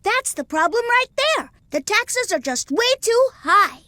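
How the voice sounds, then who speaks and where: A woman's voice: very high-pitched, big pitch swings, measured pace, pushed and bright, no room sound, heavily acted. A woman voicing a confident, outspoken little boy in a video game dub, matter-of-fact and eager to explain things. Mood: earnest.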